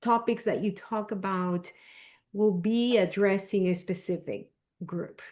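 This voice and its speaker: A woman's voice: medium pitch, unhurried, darkish tone. A woman with a Spanish accent hosting a small coaching group on a video call, encouraging and repeating her points with many fillers. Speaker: discussion group host.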